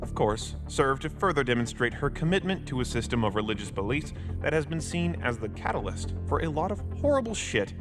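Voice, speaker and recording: A man's voice: mid-range voice, sing-song, a little fast, hardly any pauses, over music, close to an everyday voice. A man playing a smug, irreverent news anchor in a video game parody newscast, with a polished but snide announcer delivery. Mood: slick, snide.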